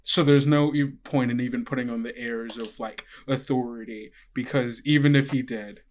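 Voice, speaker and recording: Man, game master, improvised tabletop narration, casual phrasing, mid-range pitch. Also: fairly even intonation, normal speed, darkish tone, a little hiss or hum. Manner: casual, peppered with "like".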